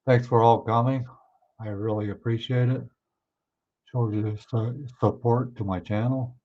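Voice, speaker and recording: A man hosting a livestream, chatting casually with viewers and answering their comments, his voice a bit hoarse. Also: mid-range voice, steady tone, unhurried. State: hoarse, clearing his throat. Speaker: livestream host. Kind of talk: casual chat with live viewers. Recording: somewhat dull, lo-fi stream audio.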